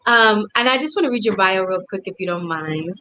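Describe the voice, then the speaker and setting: A woman's voice: medium pitch, lively intonation, a little fast, pushed and bright, no room sound, dull audio. A woman hosting a live online interview series, reading the introduction with frequent fillers in a friendly way. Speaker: host.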